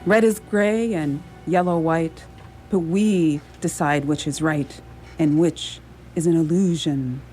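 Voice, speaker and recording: Radio play role: lonely, jittery superhero cosplayer played by a woman, lower-pitched, theatrical and dithering. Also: steady tone, takes it slowly, dark tone, soft background music. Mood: solemn, poetic. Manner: theatrical, dithering, self-questioning. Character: lonely, nervous young superhero cosplayer reciting poetry on a rooftop. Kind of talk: community radio theatre acting.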